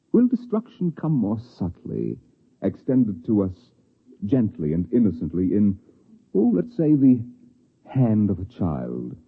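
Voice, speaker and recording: Deep, dramatic old-time radio narrator. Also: male voice, husky, heavily acted. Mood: ominous. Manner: dramatic, deliberate phrasing. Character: solemn, portentous male narrator of an apocalyptic science fiction tale. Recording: tinny vintage 1950s radio recording. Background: music.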